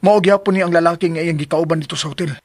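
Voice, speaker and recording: A man's voice: fairly high pitch, fairly even intonation, very fast, no echo, natural voice. A man in a Visayan Filipino radio drama playing a composed, resolute young adult son. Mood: calm, resolute. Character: composed, resolute grown son set on leaving his partner. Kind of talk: broadcast radio drama acting.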